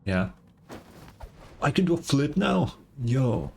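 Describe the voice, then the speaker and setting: A man's voice: mid-range voice, very animated, slow pace, creaky, a little mellow, slight background noise. A man doing casual live gameplay commentary as a streamer, talking in an informal, slangy, wry way. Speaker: game streamer.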